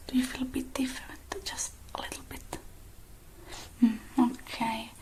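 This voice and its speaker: A woman speaking in a breathy, mostly unvoiced whisper, gentle and hushed with many pauses, as in a close-miked ASMR roleplay. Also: sits high, level tone. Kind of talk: whispered ASMR roleplay, one-sided personal attention.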